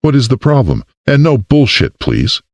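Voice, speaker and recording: A male narrator voicing a no-nonsense woman mayor, brisk and commanding, in an audiobook. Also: medium pitch, wildly swooping pitch, no room sound, pitched above the performer's own voice. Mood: demanding.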